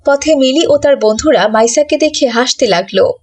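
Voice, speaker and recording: High-voiced woman storyteller. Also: normal speed, distant mic. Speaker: narrator. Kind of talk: scripted moral storytelling narration.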